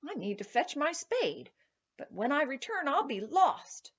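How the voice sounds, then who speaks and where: A woman's voice: high-pitched, very animated, a little slow, dull audio, voice pushed up. A woman voicing a bold, greedy, cocky young farm lad in a storybook, with an eager, demanding manner. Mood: excited. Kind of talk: storybook character voice.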